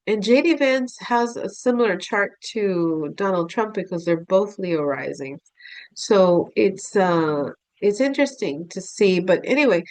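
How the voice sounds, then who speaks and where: A woman's voice: medium pitch, no echo, low-quality recording. A woman astrologer with a cold and a nasal voice, talking casually to her viewers with many fillers and restarts. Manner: unhurried, frequent fillers and restarts, addresses viewers as "you guys". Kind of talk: casual explanatory talk to camera.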